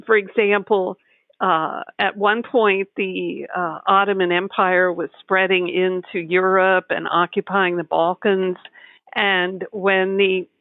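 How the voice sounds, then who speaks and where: A woman from the United States, a tax advisor, explaining in a measured, articulate way as a podcast guest. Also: medium pitch, fairly even intonation, dry recording. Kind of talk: podcast interview. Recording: tinny phone-like line.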